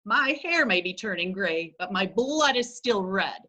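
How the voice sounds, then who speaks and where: A woman's voice: mid-range voice, lively intonation, measured pace, pushed and bright. A woman playing a vain, proud, wealthy society dowager of seventy, haughty and imperious, reading in a readers theatre play.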